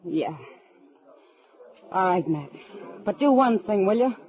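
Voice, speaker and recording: A woman with an American accent playing a caring, worldly saloon woman in a radio western, soft-spoken and frank. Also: mid-range voice, lively intonation, slow. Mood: anxious urging. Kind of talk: mid-century radio western acting. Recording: muffled old radio recording.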